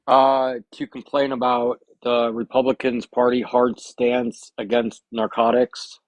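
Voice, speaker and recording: A man from Indiana calling into a live stream, answering in a hesitant, halting way. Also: mid-range voice, flat delivery, dry recording. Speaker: caller. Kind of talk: casual call-in chat.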